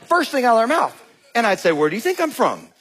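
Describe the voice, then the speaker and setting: A man with a Georgia drawl, preaching with a high-set, slightly husky voice. He tells his stories in an animated, joking way and calls the room "y'all." He sounds like a pastor in his thirties. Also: fast. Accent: Southern US (Georgia). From Georgia, US South. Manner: animated, jokey storytelling, addresses crowd as y'all. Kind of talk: sermon with storytelling.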